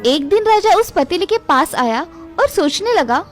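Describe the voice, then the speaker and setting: A woman's voice: extremely high pitch, very animated, a little slow, soft background music. A woman reading a scripted moral tale for children as the narrator.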